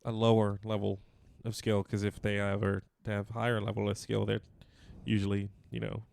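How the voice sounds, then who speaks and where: A man co-hosting a radio show, talking through the news casually and off the cuff in informal, halting explanations. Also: lowish voice, monotone, creaky, a little mellow. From Canada. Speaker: co-host of a local music and chat radio show; musician. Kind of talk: casual unscripted news chat.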